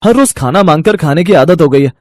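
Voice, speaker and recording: A man's voice: on the high side, animated, fairly quick, a little mellow, dry recording, lightly acted. A man playing a blunt, impatient young son. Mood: scornful. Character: young grown son, impatient and scornful of his mother.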